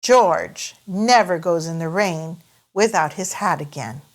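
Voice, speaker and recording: A woman's voice: fairly low pitch, takes it slowly. A woman hosting a children's read-aloud, narrating expressively in a sing-song, careful way for small listeners. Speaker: storyteller and host.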